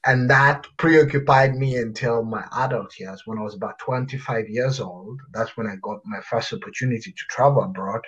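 A man in his thirties from Uganda, telling his life story as a guest in an interview, reflective and pausing with fillers. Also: medium pitch, fairly bright tone, slightly rough, dull audio. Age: 30s. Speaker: guest author.

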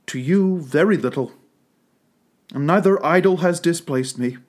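A man voicing a gentle, sorrowful young woman who speaks gravely and steadily in a soft, pleading tone. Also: fairly high pitch, a little slow.

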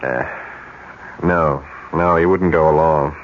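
Deep, cynical radio-drama schemer. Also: male voice, steady tone, slow pace. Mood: cynical, insistent. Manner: smooth, chuckling, insistent and emphatic. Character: cynical, persuasive male ad executive scheming to corrupt a colleague. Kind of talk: radio mystery drama acting. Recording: dull, noisy old radio recording.

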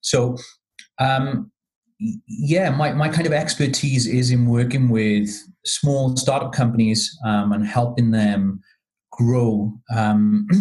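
A man's voice: mid-range voice, a little mellow, low-quality recording. A man from Wales, a podcast guest talking casually and hesitantly with many ums and restarts. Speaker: podcast guest, marketing consultant.

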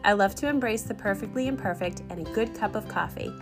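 A woman's voice: medium pitch, quick pace. Upbeat woman podcast host over music. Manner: upbeat, enthusiastic. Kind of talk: podcast interview.

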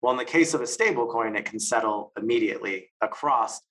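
A man's voice: mid-range voice, fairly bright tone. A man answering interview questions, explaining at length with frequent fillers, false starts and repeated words. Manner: halting, many fillers and restarts. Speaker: interview guest, stablecoin expert.

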